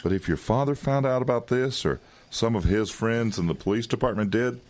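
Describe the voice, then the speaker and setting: An actor playing a wry, quietly menacing intelligence agent in an audio drama, talking in an insinuating, condescending way. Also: male voice, medium pitch, a little fast, close to an everyday voice. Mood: pressuring, cajoling. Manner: insinuating, condescending, with chuckles and hesitations.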